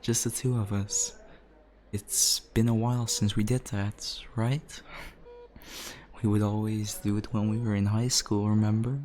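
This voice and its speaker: An actor playing a caring young adult brother comforting his sister in an ASMR roleplay, speaking gently and hesitantly with soft, breathy chuckles. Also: mid-range voice, little pitch movement, unhurried, lightly acted. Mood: gentle, tender.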